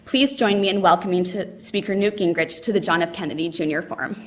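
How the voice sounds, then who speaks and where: A woman's voice: fairly low pitch, fast talker, highs cut off. A woman giving a formal speech of introduction for a guest at a public forum.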